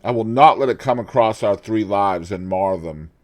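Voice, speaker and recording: A man's voice: fairly low pitch, moderate pace, lightly acted. A man reading aloud and voicing an earnest, devoted male painter who speaks pleadingly. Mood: resolute.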